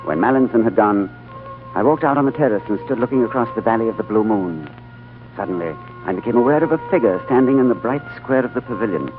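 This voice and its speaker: A man narrating and acting the lead in a 1930s radio drama, with an urbane, wry and measured manner. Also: mid-range voice. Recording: tinny old radio broadcast with music.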